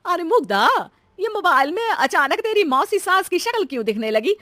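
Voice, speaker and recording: A woman puts on a lazy old mother-in-law from a family story. She is bossy and nosy, wheedling in a high voice. The recording is dry. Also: very animated, moderate pace, sharp tone, no room sound, exaggerated voice. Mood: puzzled. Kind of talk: animated story dialogue.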